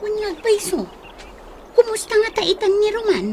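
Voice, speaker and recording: An actress with an Ilocano accent playing a practical, nosy young best friend in a radio drama. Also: female voice, extremely high pitch, big pitch swings, moderate pace, warm tone, a little hiss or hum, full character voice. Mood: teasing, brisk.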